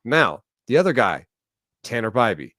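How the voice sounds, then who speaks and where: A man talking pitching stats in a measured, analytical casual chat on a podcast. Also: big pitch swings, a bit bright, no room sound, clean studio sound. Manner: measured, analytical, stat-focused.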